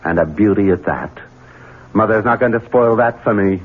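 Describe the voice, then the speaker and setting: An actor playing a selfish, evasive Southern bachelor heir in a 1970s radio drama, with a husky voice and an American Southern accent. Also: male voice, lowish voice, normal speed, natural voice. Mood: irritable, cold. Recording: muffled 1970s radio broadcast.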